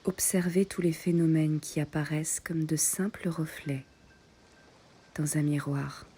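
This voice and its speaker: Slow, soft woman guiding meditation. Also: sits low, fairly even intonation, a little hiss or hum. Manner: soft breathy delivery, often near a whisper, short phrases with long pauses. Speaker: yoga nidra meditation guide. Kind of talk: guided meditation.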